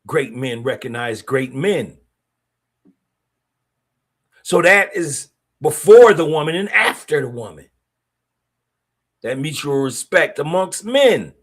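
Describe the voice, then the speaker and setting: A man's voice: a little high, big pitch swings, slow pace, pauses often, a bit bright. A middle-aged man, hosting a podcast and speaking bluntly in a streetwise, opinionated way. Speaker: podcast host. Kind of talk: casual monologue podcast.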